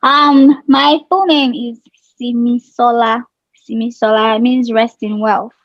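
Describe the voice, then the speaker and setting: Nigerian woman in her thirties, relaxed interview guest, quick to laugh, a touch high-pitched, heard over a dull, dry line. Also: dry recording. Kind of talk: casual interview talk.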